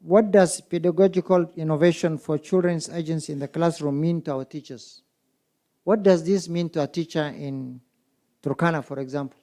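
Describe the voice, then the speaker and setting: Kenyan guy moderating a symposium, mellow kinda high voice, fires off question after question at the room. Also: fairly even intonation. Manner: poses rapid rhetorical questions to the audience. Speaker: conference moderator. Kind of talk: public speaking at a symposium. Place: conference hall.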